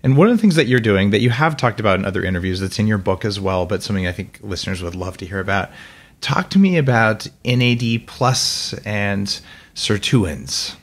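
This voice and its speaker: A male podcast host talks with a guest in a loose, conversational way, full of fillers and restarts. His voice sits low and has a husky texture. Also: animated, a little fast. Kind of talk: podcast interview.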